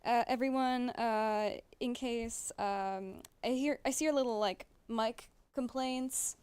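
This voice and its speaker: A woman co-hosting a livestream, chatting in a halting, informal way. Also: fairly high pitch, darkish tone, smooth. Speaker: livestream co-host. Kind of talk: casual livestream chat.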